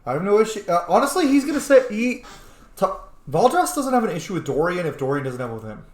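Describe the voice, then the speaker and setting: Animated, higher-voiced man doing game commentary. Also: fast. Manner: cheeky, blunt, sweary. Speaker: gamer, let's player. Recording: echoey room.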